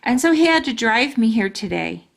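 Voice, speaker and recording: A woman's voice: fairly high pitch, very animated, normal speed, vocal fry. A woman voicing an indignant, blunt kindergarten girl in a storybook, with emphatic childlike phrasing. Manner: childlike grammar, emphatic, blurting. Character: indignant, blunt kindergarten girl narrating her school day.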